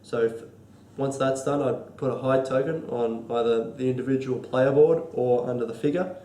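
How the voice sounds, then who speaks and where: A man's voice: medium pitch, steady tone, dark tone, reverberant. Stuffy-nosed Australian man explaining rules. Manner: halting, many ums and restarts. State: congested from a cold. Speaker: board game designer demonstrating rules. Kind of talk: casual tabletop rules walkthrough.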